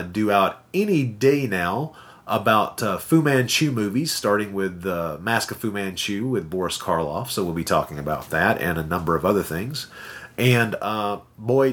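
A man's voice: lowish voice, animated, unhurried, fairly bright tone. A man co-hosting a podcast, chatting casually in a rambling, jokey, self-deprecating way. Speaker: podcast co-host. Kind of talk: casual podcast sign-off chat.

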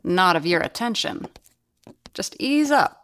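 A woman's voice: lowish voice, lively intonation, a little slow, a bit bright, a little hiss or hum. An actress playing a worldly woman in an audio drama, dry, knowing and teasing. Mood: wry, teasing. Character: wry, worldly woman, teasing and a little jealous.

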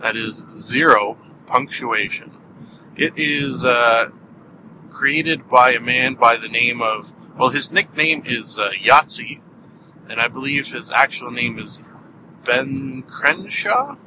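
A man's voice: medium pitch, slow pace, noisy background. A man with a Canadian accent hosting a casual solo podcast, rambling with many fillers and small chuckles. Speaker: podcast host.

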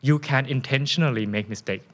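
A man's voice: mid-range voice, a little fast, fairly bright tone. A man with a Thai accent, a guest in a casual interview, telling stories animatedly with frequent laughs and restarts.

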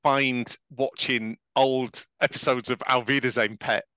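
A middle-aged man with a clearly bright, forward voice at an average pitch. He chats casually as a podcast co-host, often checking in with a "right?", and the sound is dry and close. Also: steady tone, unhurried, no room sound. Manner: chatty, often tags phrases with "right?". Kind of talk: casual chat.

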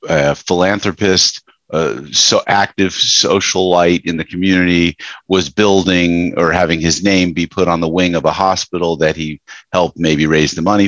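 Deep-voiced middle-aged man, dry sound. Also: fairly bright tone, dry recording. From New York, USA. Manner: rambling, many fillers and restarts. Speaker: guest, business coach. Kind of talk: casual interview storytelling.

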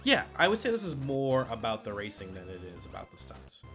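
Bright-voiced man chatting on a podcast. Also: medium pitch, huge pitch swings, brisk, soft background music. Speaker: podcast co-host. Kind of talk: casual film countdown discussion.

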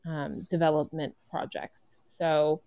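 略低沉女声，风趣自嘲. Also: female voice, deep voice, level tone, slow pace, mellow, dry recording. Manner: wry, self-deprecating. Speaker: actor and podcaster, panelist. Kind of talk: casual panel chat.

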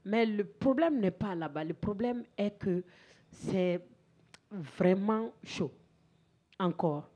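A woman from Mali, answering questions on a panel in a halting way, repeating words and often saying "voilà". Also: medium pitch, expressive, warm tone, lo-fi sound. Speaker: panel speaker, head of an education organization. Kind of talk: answering questions through an interpreter.

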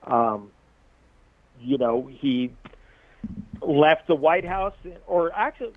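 A man's voice: on the high side, lively intonation, takes it slowly, no echo. Jokey Californian man on a tinny line. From Southern California. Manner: rambling and jokey, laughs often. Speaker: guest musician. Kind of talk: casual podcast interview. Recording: tinny, dry phone-like line.

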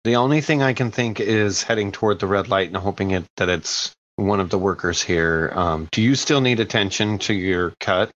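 A man's voice: fairly low pitch, moderate pace, few pauses, fairly bright tone. Man playing a tabletop game, slightly muffled. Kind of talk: casual in-character game dialogue.

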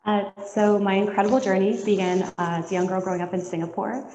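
Canadian woman guest, flat low gravelly voice, lots of ums, echoey dull audio. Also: fast talker. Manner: hesitant, many fillers and restarts. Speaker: guest pilot. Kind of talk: interview answers.

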